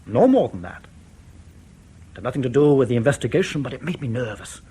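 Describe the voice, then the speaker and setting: An East German defector in a radio drama. His voice is mid-pitched and slightly husky. He is reflective and guarded, setting out his account with deliberate care. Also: very animated, warm tone. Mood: uneasy, recounting. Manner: measured, deliberate, ruminative.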